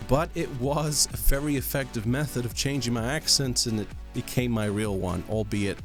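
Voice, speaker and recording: A man from London with a mixed English, Irish and American accent, presenting scripted vlog commentary with rhetorical questions and deliberate pauses. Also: fairly low pitch, a bit bright. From London, England. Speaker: host of a crypto video channel.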